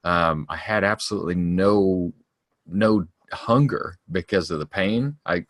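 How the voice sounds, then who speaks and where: A man's voice: deep voice, unhurried, no room sound, clean studio sound. A man in his forties co-hosting a podcast, chatting casually, rambling with many restarts and laughing often. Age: 40s. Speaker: podcast co-host. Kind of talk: casual chat.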